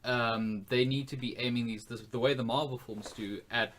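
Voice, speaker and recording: A man's voice: mid-range voice, fairly even intonation, normal speed, fairly bright tone, a little hiss or hum. Man thinking aloud in casual podcast chat. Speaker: podcast co-host.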